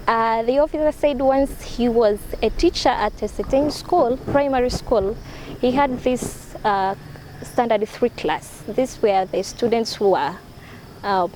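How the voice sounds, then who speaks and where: A woman with a Tanzanian accent, a teacher coach telling a classroom story in a webinar, lively when she quotes the children. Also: a little high. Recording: noisy call with background noise.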